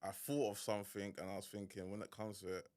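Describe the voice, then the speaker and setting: A man hosting a casual podcast, talking in a relaxed, slang-heavy way. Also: on the low side, fast. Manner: laid-back slang-heavy chat, hype intro. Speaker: podcast host.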